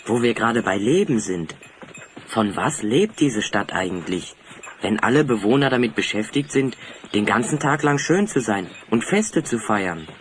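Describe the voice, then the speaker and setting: An actor playing an inquisitive, ironic adventurer hero in a German audio drama. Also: male voice, lowish voice, big pitch swings, moderate pace. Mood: ironic, curious. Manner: ironic, questioning. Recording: slightly noisy cassette audio drama.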